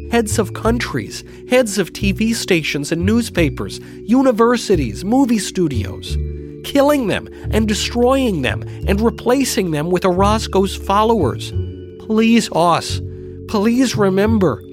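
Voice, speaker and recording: Man acting a young drugged sister, high voice, urgent pleading, frightened, audiobook drama. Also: sing-song, unhurried, over music. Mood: desperate, pleading.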